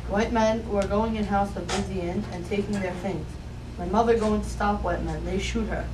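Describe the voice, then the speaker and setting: A woman's voice: medium pitch, steady tone, moderate pace, lightly acted. A woman voicing a serious young Native boy who speaks clipped broken English. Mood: solemn. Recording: distant echoey room with noise.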